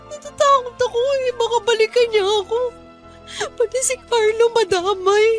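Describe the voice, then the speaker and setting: A woman's voice: very high-pitched, fairly even intonation, moderate pace, faint music behind. A woman with a Filipino accent playing an emotional, vulnerable young woman in a radio drama. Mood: tearful, frightened. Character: tearful battered young woman, frightened and clinging.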